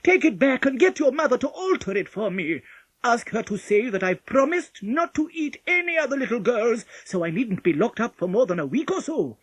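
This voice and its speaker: A scheming storybook wolf, played by a woman, full of bluster and complaint. The voice is harsh and husky, swinging widely in pitch. He is gullible, emphatic and quick to get exasperated, all in a dry, close sound. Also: sing-song, a bit bright, no room sound, full character voice, poor audio. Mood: pleading, grudging.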